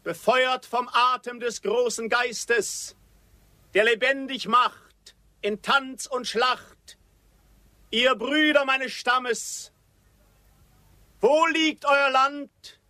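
A man's voice: extremely high pitch, steady tone, very slow, pauses often, sharp tone, no echo, heavily acted. An actor playing a fiery Apache orator, declaiming solemnly to his warriors in a radio drama. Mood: fiery, rallying. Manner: declamatory, proclaiming.

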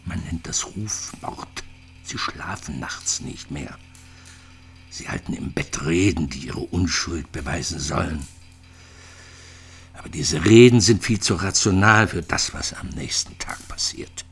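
A man's voice: deep voice, a little slow, gravelly, fairly bright tone, faint music behind, heavily acted. An actor in a radio drama playing a bitter, lonely retired East German physicist in his sixties, reflecting in clipped phrases. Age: 60s.

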